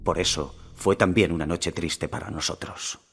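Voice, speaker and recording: An actor playing a solemn Aztec emperor in a game cutscene, telling of war in grave, measured Castilian Spanish. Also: male voice, low voice, fairly even intonation, a bit bright, soft background music. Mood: grave, proud. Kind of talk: scripted dramatic game cutscene narration.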